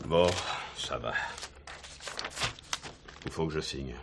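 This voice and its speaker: An actor playing a defensive jealous husband under police questioning in a stage play, stubborn and protesting. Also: male voice, a bass voice, slow pace, vocal fry, exaggerated voice. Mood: wary, sullen. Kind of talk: filmed stage play acting. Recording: hissy 1970s theatre recording.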